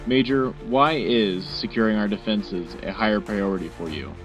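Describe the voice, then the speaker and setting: A man playing a measured, serious host moderating a scripted wartime radio debate. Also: fairly low pitch, lightly acted. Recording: dull lo-fi radio sound with music bed.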